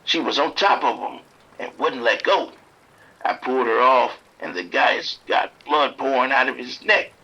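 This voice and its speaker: An actor playing a streetwise pimp trapped in a motel bathroom, blunt and grudging with the police. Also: male voice, mid-range voice, normal speed, bright, forceful tone, breathy edge, lo-fi sound. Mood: shaken. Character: streetwise male pimp hiding from attackers, bitter toward police.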